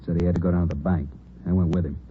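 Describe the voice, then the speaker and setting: Very low, terse cop played by an actor. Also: male voice, steady tone, rapid-fire, close to an everyday voice. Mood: rueful, clipped. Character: terse, rueful male police officer making his report. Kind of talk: 1950s radio police drama dialogue. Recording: tinny, faintly noisy old radio broadcast.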